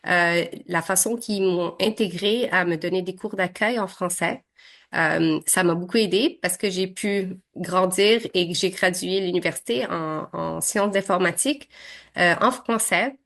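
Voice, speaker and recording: A woman's voice: fairly low pitch, measured pace, a bit bright. A woman in her thirties from Syria, whose first language is Arabic, answering interview questions in a hesitant way with many fillers and restarts. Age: 30s.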